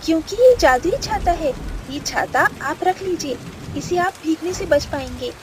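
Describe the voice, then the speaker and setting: Woman playing an eager high-voiced village child. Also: expressive, moderate pace, noisy background. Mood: kind. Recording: faint music behind the voice.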